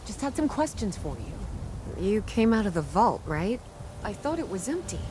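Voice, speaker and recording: A woman's voice: medium pitch, big pitch swings, normal speed, background noise, close to an everyday voice. A woman playing a scrappy, friendly young treasure hunter in a video game, with a casual, earnest and slightly hesitant delivery. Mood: cautious, curious. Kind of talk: naturalistic video game dialogue.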